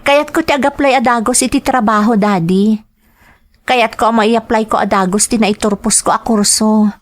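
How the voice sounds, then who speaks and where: A woman's voice: a little high, steady tone, moderate pace, lightly acted. A woman playing an eager, determined young female graduate in an audio drama, speaking earnestly and expressively. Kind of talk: dramatized family radio-style drama.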